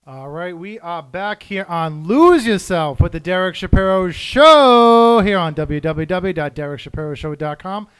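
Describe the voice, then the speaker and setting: A man hosting a show in a studio, eager and quick to say yes, often halting with fillers. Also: sits high, lively intonation, a bit bright, clean tone. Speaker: host. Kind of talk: radio-style show hosting and promo read.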